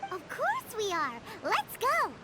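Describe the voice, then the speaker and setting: Game sidekick: tiny childlike female creature, extremely high, squeaky, wildly animated, music behind. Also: slow, noisy background, full character voice. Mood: eager. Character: tiny, excitable childlike female floating companion. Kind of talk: video game voice acting. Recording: game music playing over the voice.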